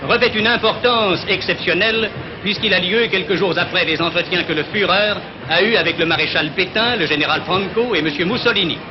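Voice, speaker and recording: A man's voice: high voice, fairly quick, background noise. A man narrating a 1940s newsreel in a formal, declamatory announcer delivery, on an old soundtrack. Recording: dull vintage 1940 newsreel soundtrack. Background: music.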